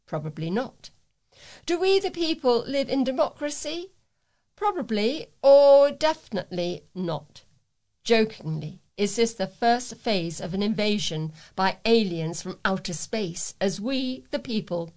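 A woman reads local news and letters in a medium-pitched voice. Her phrasing is measured and deliberate, as a volunteer reader on a talking newspaper. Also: animated, a little mellow.

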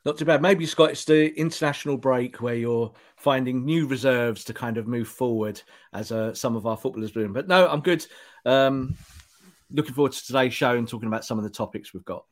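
Football journo guy on a podcast, mid-pitch voice, laid back and chatty. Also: fairly quick. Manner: relaxed, conversational, laughs easily. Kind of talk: casual football podcast chat.

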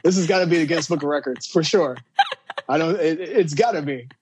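A man's voice: a little high, brisk, a little mellow, husky. A man co-hosting a podcast, chatting casually in an informal, slangy way. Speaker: podcast co-host. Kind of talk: casual chat.

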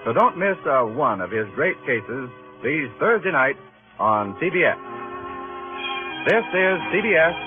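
Animated American old-time radio announcer, tinny sound. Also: male voice, on the high side, a little slow, music in the background. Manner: polished, emphatic announcer delivery. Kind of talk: 1950s network radio announcing, scripted.

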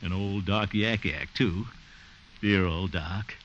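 A man's voice: low-pitched, slow pace, a bit bright, muffled recording. An actor playing a genial, bumbling, flirtatious man with a hearty, chuckling, theatrical delivery in a 1950s radio sitcom. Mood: fond, musing. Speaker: actor in comedy lead. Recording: noisy old 1950 radio recording.

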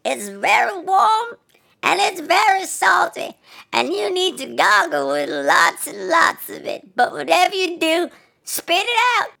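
A man voicing a bawdy, scolding old grandmother for a comic podcast bit, loud and exaggerated, with a shrill, creaky voice. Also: huge pitch swings, heavily acted. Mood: coaxing, bawdy. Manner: loud, exaggerated, drawn-out delivery.

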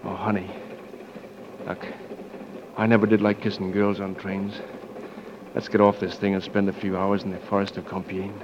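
An actor playing a romantic, breezy American flyer who talks in a wry, coaxing way in a 1940s radio drama. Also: male voice, fairly low pitch, little pitch movement, normal speed, natural voice. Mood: tender. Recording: muffled, noisy 1940s radio broadcast.

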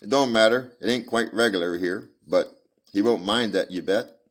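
A man reading the part of a weary frontier man, gentle with a child, in a folksy drawl. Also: on the low side, normal speed, pitched above the performer's own voice, lightly acted. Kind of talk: amateur audiobook reading.

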